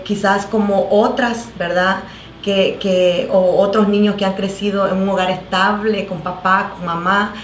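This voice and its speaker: A woman's voice: medium pitch, slight background noise. Earnest Salvadoran woman pastor, echoey room. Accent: Salvadoran Spanish. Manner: earnest, halting, many fillers and restarts. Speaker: pastor, guest. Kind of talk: testimony in a talk show.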